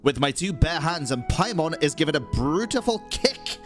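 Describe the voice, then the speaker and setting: Low-voiced, animated woman streaming a game. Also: moderate pace. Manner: sweary, blunt. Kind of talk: casual reaction commentary on gameplay. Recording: game music behind the voice.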